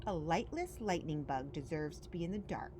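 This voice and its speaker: A woman voicing a young male lightning bug, earnest, determined and excitable, repeating words emphatically in a storybook reading. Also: sits low, normal speed, noisy background. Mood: dejected.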